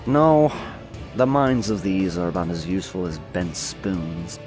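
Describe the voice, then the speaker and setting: A man's voice: low voice, expressive, a little slow, music in the background. A man playing a cynical, aloof fantasy lord and mage, speaking with dry, unruffled condescension in an audiobook. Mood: dry, dismissive. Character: cynical, aloof male demon-keeping lord and mind mage. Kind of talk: dramatized fantasy audiobook acting.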